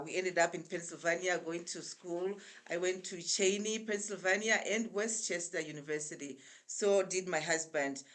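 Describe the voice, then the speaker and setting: A Zimbabwean woman in her sixties, a guest on a remote call, telling her life story in an unhurried, chatty way with frequent pauses. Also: fairly low pitch, fairly bright tone. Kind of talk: casual storytelling interview.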